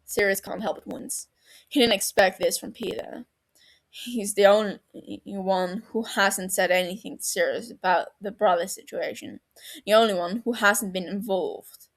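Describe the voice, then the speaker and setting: A woman's voice: mid-range voice, moderate pace, vocal fry. A woman reading fiction aloud as an amateur audiobook narrator, in a storytelling style.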